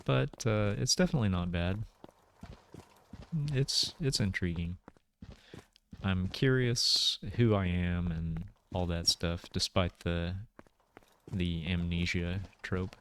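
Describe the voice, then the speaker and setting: A man's voice: sits low, fairly even intonation, takes it slowly, pauses often, fairly bright tone, a little hiss or hum. A man giving casual gameplay commentary, thinking aloud in a hesitant, musing way. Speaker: video game player and commentator. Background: music.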